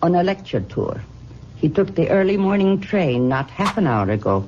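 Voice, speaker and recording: A woman's voice: fairly low pitch, very animated, normal speed, darkish tone. An actress playing a loyal, evasive woman servant in an old-time radio drama, deferential and guarded. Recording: muffled, noisy old radio recording.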